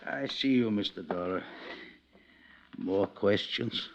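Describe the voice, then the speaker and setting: Wizened, guarded old Italian immigrant, low creaky voice, halting broken English, vintage radio drama. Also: male voice, slight background noise. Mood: weary, withdrawn. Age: older. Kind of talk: 1950s radio drama acting. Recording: dull, lo-fi old radio recording.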